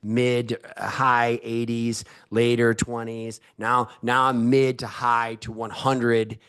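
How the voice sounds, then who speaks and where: A man with a mid-pitched voice talks as an expert podcast guest. He explains step by step in an emphatic, instructive manner, pressing his points so listeners keep up. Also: flat delivery, slow pace, a bit bright, smooth. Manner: didactic, emphatic, checks listeners follow. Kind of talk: explanatory interview talk.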